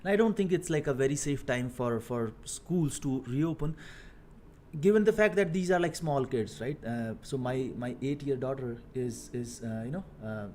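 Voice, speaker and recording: A man in his thirties from India with an Indian accent, talking casually on a coding live stream, halting with many fillers and repeated words. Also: medium pitch, animated, darkish tone, slight background noise. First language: Hindi. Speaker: live-streaming software engineer.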